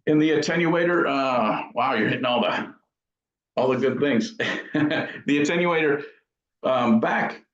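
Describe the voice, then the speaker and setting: A man talking casually as an interviewed amp builder, explaining technical details informally in a thoughtful, halting way. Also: mid-range voice, fairly bright tone. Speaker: amp builder and company founder being interviewed. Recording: dull lo-fi sound in an echoey room.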